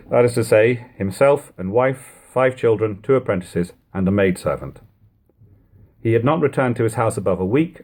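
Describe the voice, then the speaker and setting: A man reading an old literary text aloud as an amateur audiobook narrator, with expressive, measured phrasing. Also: on the low side. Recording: lo-fi home recording.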